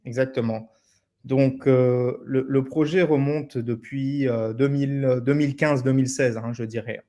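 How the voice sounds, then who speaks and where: Flat-voiced man in his thirties. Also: mid-range voice, a little slow. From Senegal. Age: 30s. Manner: hesitant, flat delivery with fillers. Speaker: guest, company director. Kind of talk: interview answers.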